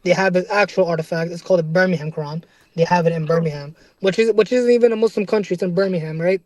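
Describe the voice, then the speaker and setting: Young male debater with a high voice and dry sound; earnest and casual, talks in restarts and keeps asking "right?". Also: fast, dry recording. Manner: casual slang, calls listener "bro".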